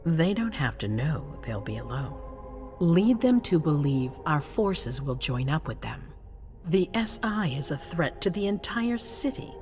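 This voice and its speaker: A woman's voice: low-pitched, sing-song, unhurried, a little mellow, soft background music. An actress playing a cold, ruthless female vampire leader in a video game, speaking with imperious authority. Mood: cold, commanding. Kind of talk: dramatic video game acting.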